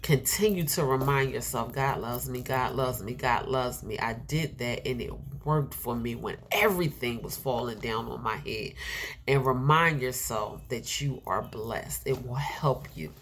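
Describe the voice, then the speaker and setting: A woman's voice: rumbling low, a little slow, a little hiss or hum. A middle-aged woman with a nasal, congested voice giving an earnest inspirational sermon, repeating herself and laughing now and then. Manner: earnest, repetitive, with laughs and filler words. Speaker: preacher.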